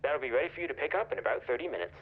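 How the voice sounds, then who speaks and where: Polite takeout clerk, very up and down voice, muffled phone line, game. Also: male voice, mid-range voice, big pitch swings, very fast. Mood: patient, polite. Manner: scripted customer-service politeness. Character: patient fast-food order taker on the phone. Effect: telephone filter. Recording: muffled recorded phone call.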